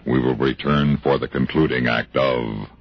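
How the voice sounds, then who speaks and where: Announcer guy, super low gravelly slow voice, solemn, muffled old radio, loud music under. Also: steady tone. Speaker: show announcer. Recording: dull old radio broadcast recording.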